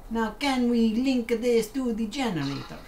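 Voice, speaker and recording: A woman's voice: fairly high pitch, sing-song, a little slow, mellow. A woman streaming a game from her desk, chatting casually, joking around, trying out put-on accents and talking back to the game. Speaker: gaming streamer. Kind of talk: casual live-stream commentary. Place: home room at a desk. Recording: roomy with faint room hum.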